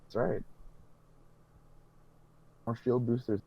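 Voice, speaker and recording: A man's voice: mid-range voice, fairly even intonation, takes it slowly, creaky, slightly rough, dull audio. A man co-hosting a hobby podcast, chatting casually with emphatic, sweary, rambling enthusiasm. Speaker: podcast co-host.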